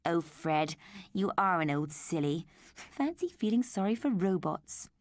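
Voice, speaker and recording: A woman voicing a fussy middle-aged mother who chides her daughter briskly. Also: on the low side, animated, dry recording, clean studio sound. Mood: worried. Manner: brisk, chiding.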